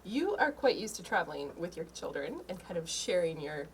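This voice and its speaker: A woman's voice: on the low side, big pitch swings, fairly quick, a little hiss or hum. A woman co-hosting a podcast in a studio, asking her guest curious questions and laughing lightly as she talks. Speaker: podcast co-host. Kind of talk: casual interview chat.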